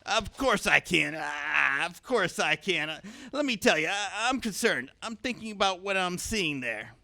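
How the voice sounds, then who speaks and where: A shameless, muddled old quack dentist for a comic radio play. The voice is high, bright and crackly with age. He hems, mutters and repeats himself absent-mindedly. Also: animated, measured pace, gravelly, exaggerated voice. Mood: muddled, evasive.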